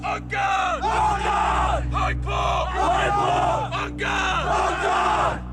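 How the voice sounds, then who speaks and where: An army instructor shouting aggressive, profane drill orders at recruits. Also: male voice, extremely high pitch, little pitch movement, extremely slow, loud music behind the voice, a loud crowd.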